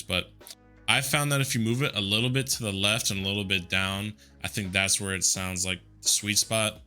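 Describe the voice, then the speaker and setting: A man with a deep voice walking through software settings in a relaxed, conversational way, with a headset mic. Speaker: tutorial host. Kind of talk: casual screen-recorded software tutorial.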